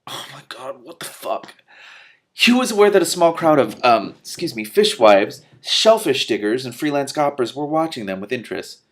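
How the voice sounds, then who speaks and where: A man hosting an expressive read-aloud of a novel, breaking off for casual, candid reactions. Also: mid-range voice, normal speed. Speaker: host reading a novel aloud with commentary.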